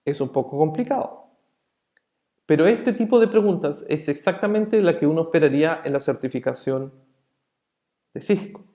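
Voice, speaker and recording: A man teaching a networking course in a relaxed, chatty way. He often asks the class short check-in questions and explains ideas with everyday comparisons. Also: a little high, moderate pace, pauses often. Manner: illustrates points with everyday analogies. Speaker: networking instructor. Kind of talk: explanatory online lesson. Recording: roomy echo.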